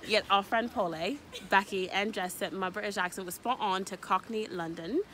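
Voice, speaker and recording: A woman's voice: medium pitch, moderate pace, crowd noise. A young woman vlogger chatting playfully outdoors by the sea, arguing and laughing, recorded on a handheld phone. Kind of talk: casual chat. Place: outdoor seaside walkway.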